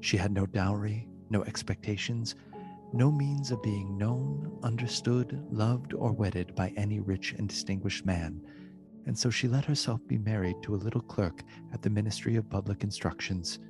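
A solemn male storyteller played by a man narrates a gothic radio play. His voice is fairly low and his phrasing formal and measured, with music clearly playing behind him. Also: lightly acted. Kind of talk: dramatic literary narration.

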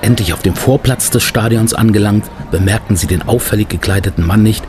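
A man's voice: lowish voice, a little fast, background noise, lightly acted. A man acting as the storyteller of a detective adventure radio play, reading scripted narration. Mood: narrating.

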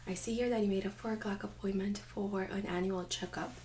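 A woman in her thirties speaking gently and very softly, often near a whisper, in an ASMR medical role-play as an examiner. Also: mid-range voice, fairly even intonation, brisk, a little hiss or hum. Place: small indoor room set up as exam office.

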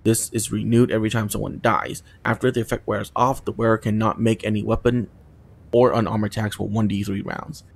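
A man's voice: lowish voice, flat delivery, fairly quick, creaky. A man casually commenting on his video game run, with chatty and exasperated asides. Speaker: gaming channel host.

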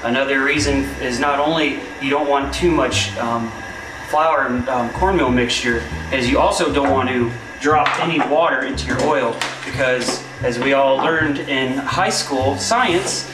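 A man's voice: mid-range voice, unhurried, far from the mic. A young man working as a cook gives casual kitchen safety tips, speaking plainly with many fillers. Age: young adult. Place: commercial kitchen. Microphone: far camera. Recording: roomy kitchen from off-mic, noisy.

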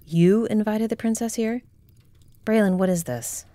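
A woman's voice: mid-range voice, measured pace, warm tone, no echo, lightly acted. A woman playing a proud, guarded noblewoman commander in a fantasy audio drama, speaking formally and haughtily. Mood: sharp, alarmed. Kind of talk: fantasy audio roleplay acting.

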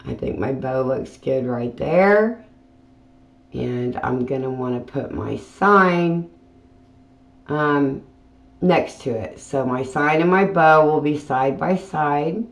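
A woman hosting a craft channel, talking through a tutorial in a casual voiceover with plain, unhurried step-by-step explaining. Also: very deep voice. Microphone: voiceover mic.

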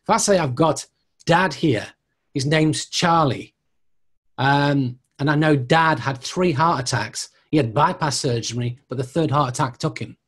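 Husky, higher-voiced man teaching. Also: unhurried, a bit bright. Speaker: mediumship tutor. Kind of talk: informal teaching, answering student questions.

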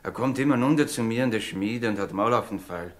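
A man's voice: fairly low pitch, brisk, natural voice. A man playing a jovial, blunt village blacksmith in a German radio drama, talking in a folksy, hearty way. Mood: chatty. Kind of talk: mid-century German radio drama acting. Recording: 1960s radio play with music behind.

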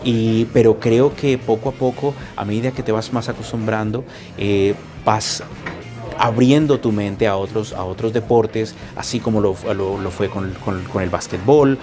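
Measured Colombian man, low pitch. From Bogotá, Colombia. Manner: measured, hesitant with many fillers. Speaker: hockey color commentator. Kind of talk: interview answers. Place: locker room, indoors.

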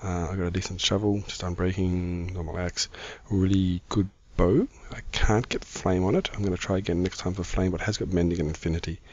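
A middle-aged man with a croaky voice, giving casual, easygoing gaming commentary as the host of a video. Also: sits low, steady tone, fairly quick, hardly any pauses, darkish tone, no room sound, dull audio. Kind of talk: casual Minecraft let's-play commentary.

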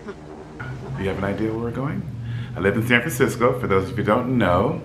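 A man in his fifties with a deep baritone voice, a bit scratchy in the throat, chatting upbeat to the camera in his apartment as a vlog host. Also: animated, a little fast, echoey room, music in the background. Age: 50s. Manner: upbeat, chatty, laughs easily. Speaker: flight attendant vlogger. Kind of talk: casual vlog chat. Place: apartment room by a city window.

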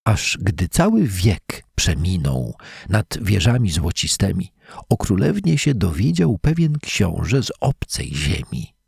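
A man reading a fairy tale in verse aloud, as a measured, dramatic storyteller. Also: low-pitched, sing-song, vocal fry, darkish tone, breathy edge, dry recording, clean studio sound. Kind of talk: expressive scripted fairy-tale narration in verse.

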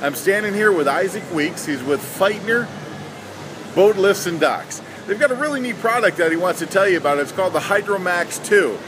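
A man's voice: sits high, lively intonation, moderate pace. A man who publishes a magazine, interviewing an exhibitor at an indoor boat show with upbeat enthusiasm. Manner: enthusiastic, hearty praise. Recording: loud crowd and hall noise.

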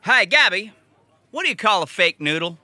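An actor playing a showy, grandiose drive-in emcee in a comic audio drama, announcing theatrically. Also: male voice, sits high, big pitch swings, normal speed, bright, forceful tone, no room sound, exaggerated voice. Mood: jokey. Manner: hammy, grandiose. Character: showy male drive-in emcee telling corny jokes.

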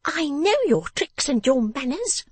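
A woman voicing a sharp-tongued, bossy young girl in a storybook, scolding in a shrill, imperious way. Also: big pitch swings, measured pace, no room sound. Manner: imperious, tart, emphatic scolding.

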